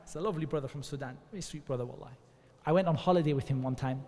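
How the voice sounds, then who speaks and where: A man from England giving an earnest, animated religious talk to an audience, telling stories with quick asides. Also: fairly high pitch. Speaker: Islamic speaker. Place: Islamic centre hall. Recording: long hall reverb.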